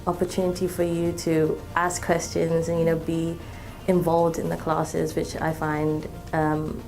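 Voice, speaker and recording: A woman in her twenties from Malaysia, a student talking in an interview, relaxed, with many ums and restarts. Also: low voice, measured pace, soft background music. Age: 20s. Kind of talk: interview testimonial.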